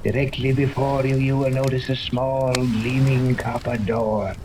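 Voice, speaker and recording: Guy doing a cold snooty scientist in a 30s radio show, real slow and precise, lots of hissy rainy noise. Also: mid-range voice, level tone, lightly acted. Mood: imperious. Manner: precise, imperious, condescending. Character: cold, haughty male scientist guide of a hidden island. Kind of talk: 1930s radio serial acting. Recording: old radio recording with rain-like noise.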